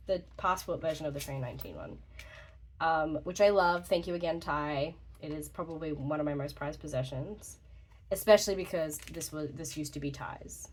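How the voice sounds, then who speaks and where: A young woman with a slightly congested voice, rambling casually through a vlog update, chatty and laughing often. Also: lowish voice. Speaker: journaling YouTuber.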